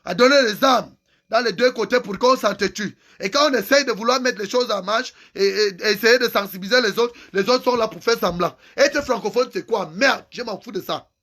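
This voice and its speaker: Male activist, unusually high-pitched, angry and insistent. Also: brisk, a bit bright. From Cameroon. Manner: angry, insulting, repeats phrases for emphasis. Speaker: activist vlogger. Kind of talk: impassioned political rant.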